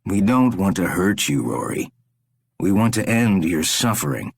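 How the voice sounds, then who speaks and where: A man voicing a calm, soft-spoken captor who speaks slowly and quietly with menace. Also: sits low, creaky, husky, heavily acted. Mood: menacing.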